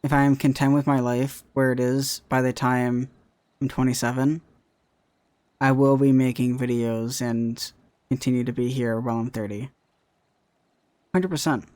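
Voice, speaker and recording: A man's voice: mid-range voice, steady tone, moderate pace, long pauses, darkish tone. A young man, a content creator, chatting casually about his future, rambling and often repeating himself with "right?". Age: young adult. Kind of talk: casual chat.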